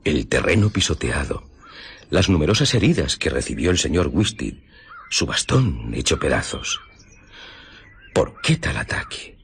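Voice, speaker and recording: Grave male investigator, Spain accent, radio drama. Very low, very husky, formal and methodical. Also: sing-song, a little slow, long pauses, exaggerated voice. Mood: grave, measured. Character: grave male police investigator recounting a murder. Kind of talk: Spanish radio drama acting.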